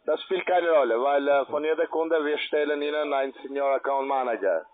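A man's voice: on the high side, brisk. Foreign-accented salesman on a tinny phone line. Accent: foreign accent in German. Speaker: account manager, telephone salesman.

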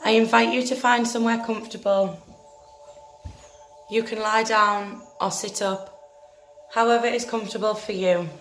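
A woman leading a guided meditation in a gentle, soothing, deliberate manner, leaving long pauses between phrases. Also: medium pitch, fairly even intonation. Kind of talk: guided meditation, scripted narration. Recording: roomy echo with faint music behind.

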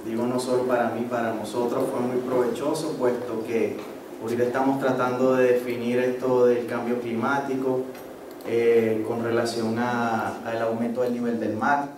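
A middle-aged man with a Venezuelan accent gives an informal presentation in a halting way, often adding little tags to check he is followed. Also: mid-range voice. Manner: halting, with frequent tag checks. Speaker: course participant presenting, marine geology researcher. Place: medium-sized indoor seminar room. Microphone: handheld microphone through PA, picked up by far camera. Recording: echoey room, distant camera microphone, noisy.

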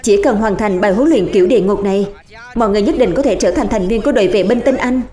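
A woman's voice: mid-range voice, fast talker, dark tone, faint music behind, natural voice. A woman voicing a fiery young male warrior in a dubbed cartoon, rousing and encouraging his recruits. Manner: rousing, exhorting. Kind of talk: animated series dubbing.

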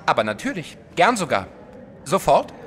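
A man's voice: a little high, wildly swooping pitch, normal speed, fairly bright tone. An actor playing a small, self-righteous, jealous male artist in an audio drama, vehement and defensive in manner. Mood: composed. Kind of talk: German audio drama acting.

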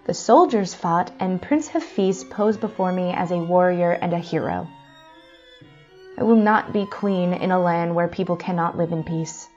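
An actress playing a proud, outspoken young princess in an audio drama, earnest and declamatory, on a dull recording. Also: female voice, lowish voice, moderate pace, a little mellow, faint music behind, lightly acted. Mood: scornful.

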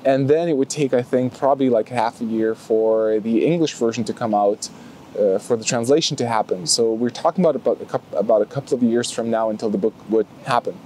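A man's voice: mid-range voice, a little fast, warm tone, slight background noise, low-quality recording. A young man with a Lithuanian accent, chatting to the camera outdoors on a grassy field, with lots of fillers and restarts. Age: young adult. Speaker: martial arts vlogger. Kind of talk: casual talk to camera. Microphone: camera close in front.

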